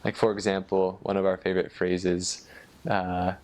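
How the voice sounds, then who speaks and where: Deep-voiced American man, casually explaining. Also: little pitch movement, moderate pace, darkish tone, a little hiss or hum. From United States. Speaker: former missionary explaining Norwegian dialects.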